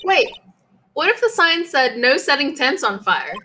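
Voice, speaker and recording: A woman's voice: sits high, sing-song, measured pace, bright, forceful tone, a little hiss or hum, exaggerated voice. A woman playing a perky, naive and curious teenage girl sidekick in a live fan dub of a video game.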